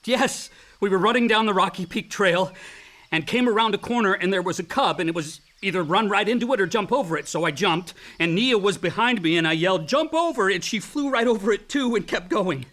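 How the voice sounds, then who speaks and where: An actor playing an outdoorsy, slightly self-doubting grown son with a casual, effusive manner in a naturalistic radio drama. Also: male voice, sits high, lively intonation, brisk, fairly bright tone. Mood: exhilarated. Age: young adult.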